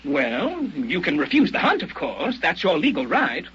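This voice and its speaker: A fussy, officious bureau man from a vintage radio play. His voice is high and very rough, with animated swings. The recording is muffled. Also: measured pace, exaggerated voice. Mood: formal, fussy. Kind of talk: old-time radio drama acting.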